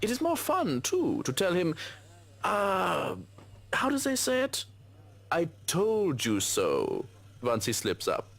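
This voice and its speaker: Snide butler, lilting. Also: male voice, sits high, slow, vocal fry, heavily acted. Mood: sly. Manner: deadpan mockery, formal stilted wording. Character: dry, snide family butler with foreign phrasing. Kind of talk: video game voice acting.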